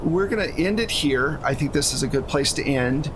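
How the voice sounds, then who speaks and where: A man running a tabletop game as game master, narrating casually and explaining things conversationally. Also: normal speed. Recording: steady low rumble and hum behind voice.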